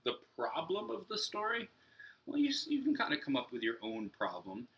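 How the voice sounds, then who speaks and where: A man's voice: on the high side, wildly swooping pitch, normal speed, lo-fi sound. A young adult man speaking as a teacher, explaining a lesson directly to young pupils. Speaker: elementary teacher. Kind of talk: read-aloud and story structure lesson for children. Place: room at home, indoors.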